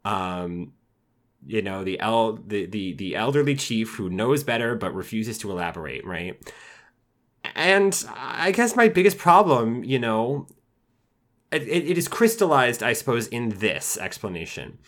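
A man with a lowish voice talks through his thoughts in a loose, casual podcast chat. He restarts his sentences often and drops in fillers as he picks at a film's pacing and characters. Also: lively intonation, a little slow, fairly bright tone. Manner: conversational, frequent fillers and restarts, mock voices when quoting characters. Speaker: film reviewer.

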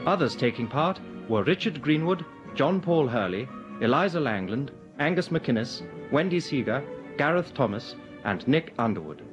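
Brief: male announcer, measured and lively, over clear music. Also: medium pitch, lo-fi sound. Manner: measured, formal. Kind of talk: formal closing announcement.

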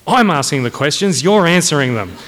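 A man's voice: a little high, very animated, a little fast, a bit bright, a little hiss or hum. A man voicing a bullying, irascible army colonel in a comic audiobook, barking and domineering. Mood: furious. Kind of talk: comic dramatised audiobook reading. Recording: long echoing hall reverb.

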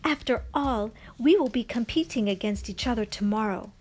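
A woman's voice: on the high side, normal speed, a little mellow, slight background noise, lightly acted. A woman voicing an anxious young village woman in a children's story, speaking in a hesitant, earnest way.

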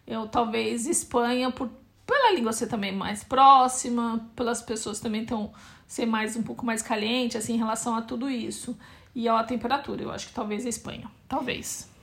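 A Brazilian woman in her forties speaks with a somewhat high voice. She answers questions in a relaxed, casual way, pausing to think and restarting phrases mid-sentence. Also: vocal fry. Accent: Brazilian Portuguese. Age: 40s. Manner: thoughtful, hesitant, often restarts mid-phrase. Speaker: interviewee, Brazilian immigrant in Portugal. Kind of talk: casual family interview.

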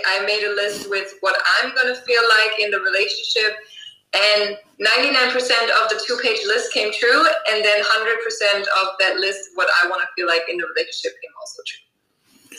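A woman from Germany, a guest hypnotherapist chatting casually and pausing on lots of ums. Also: medium pitch, pushed and bright, echoey room, poor audio. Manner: chatty, many ums and restarts. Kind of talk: casual podcast chat.

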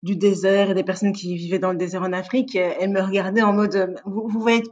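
A woman, telling personal stories casually and candidly as an interview guest, often halting to rephrase. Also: mid-range voice, little pitch movement, a little mellow, muffled recording. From Belgium. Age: 30s. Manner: candid, informal, halting with self-corrections.

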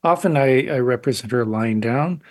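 An older man, a guest artist on a radio interview, answering thoughtfully with frequent restarts and pauses. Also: mid-range voice, animated, no echo, studio quality.

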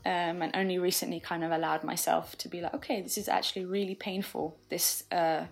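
A young woman, a podcast guest, talking thoughtfully in casual conversation with frequent fillers and soft laughs. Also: lowish voice, little pitch movement, brisk, creaky. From England.

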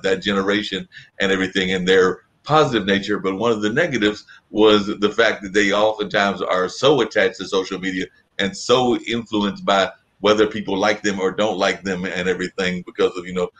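A man's voice: low voice, fairly even intonation, fairly quick, fairly bright tone, rough recording. A man hosting a conversational interview, asking long, rambling, winding questions. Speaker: interview host.

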